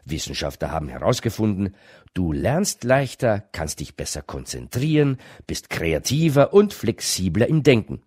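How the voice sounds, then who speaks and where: A man, reading a scripted radio feature in a lively, emphatic way. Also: on the low side, moderate pace, husky, dry recording, clean studio sound. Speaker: radio reporter.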